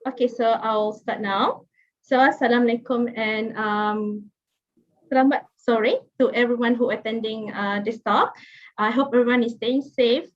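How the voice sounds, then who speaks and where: Woman, Malaysian accent, thirties, chatty guest lecturer, filler-heavy, slightly high voice, muffled call audio. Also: fairly even intonation, moderate pace. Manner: chatty, friendly, many fillers and restarts. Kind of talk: online lecture. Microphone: video call.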